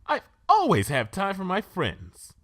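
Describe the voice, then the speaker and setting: A man's voice: sits high, wildly swooping pitch, measured pace, a bit bright, dry recording, clean studio sound, pitched above the performer's own voice, full character voice. A man voicing a refined, dramatic young female unicorn who loves gossip, in an affected, genteel manner for a fan story reading. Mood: gracious, welcoming.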